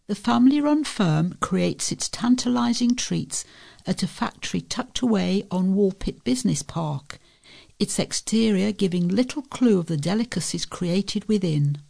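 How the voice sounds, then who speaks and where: Female volunteer reader for local news, medium pitch, plenty of vocal fry, scripted article delivery. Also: warm tone. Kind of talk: reading a local feature article aloud.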